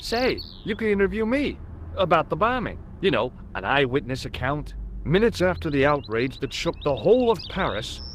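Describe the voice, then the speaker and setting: A man's voice: on the high side, very animated, moderate pace, a little hiss or hum. A man voicing a wry, easygoing young American tourist in a video game, conversational and a little sardonic. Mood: chatty, eager. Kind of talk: video game dialogue and narration.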